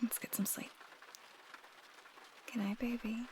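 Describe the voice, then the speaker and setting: A woman acting as a loving young female roommate with a fairly high, soft voice that often slips into a whisper. She talks intimately to the listener in an ASMR roleplay, shy but playfully teasing. There is clear noise behind the recording. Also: level tone, takes it slowly. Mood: tender, sleepy.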